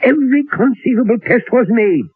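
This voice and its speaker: An actor playing an elderly, learned professor in an old-time radio drama, speaking in a deliberate, musing way with theatrical hesitations. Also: male voice, very high-pitched, animated, breathy edge, soft background music, exaggerated voice. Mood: grave, confiding. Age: older. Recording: old radio recording, thin narrow band, roomy.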